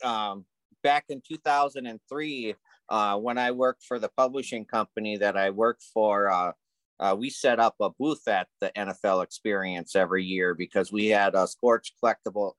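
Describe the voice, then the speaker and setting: A man co-hosting a casual podcast, telling stories in a relaxed, hesitant way with lots of ums. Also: lowish voice, normal speed, no echo, poor audio. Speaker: podcast co-host.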